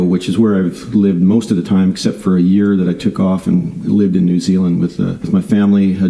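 Older man with a low gravelly voice. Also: low-quality recording. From Southern California. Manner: rambling, warm storytelling with many fillers and restarts. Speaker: guest author. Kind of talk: casual interview chat.

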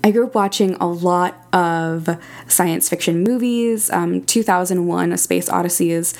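A woman's voice: on the low side, measured pace, darkish tone. A woman speaking conversationally as an interview guest, enthusiastic, thoughtful and articulate. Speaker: interview guest, writer and audio drama creator.

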